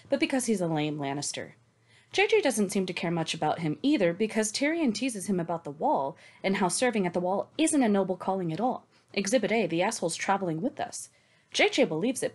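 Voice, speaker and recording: A woman co-hosting a book discussion, casual and sweary, with a sarcastic, emphatic delivery. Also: mid-range voice. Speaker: podcast co-host giving recaps. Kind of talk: sarcastic, scripted-sounding book recap and discussion.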